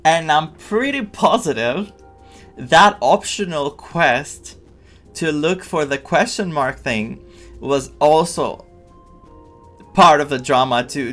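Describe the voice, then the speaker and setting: A man's voice: mid-range voice, a little slow, a bit bright. A man giving casual playthrough commentary as a game streamer, sometimes reading dialogue aloud. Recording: game music faintly behind.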